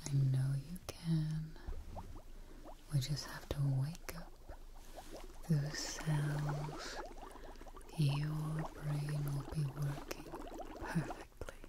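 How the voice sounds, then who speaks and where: Young female ASMR performer: breathy, soothing whisper, extremely slow delivery, gentle scientist character, close-miked with some hiss. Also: deep voice. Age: young adult. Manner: gentle, soothing, reassuring, slowly repeating phrases. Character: gentle scientist tending their frightened creation. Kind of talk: soft-spoken whispered ASMR roleplay. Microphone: close-up microphone.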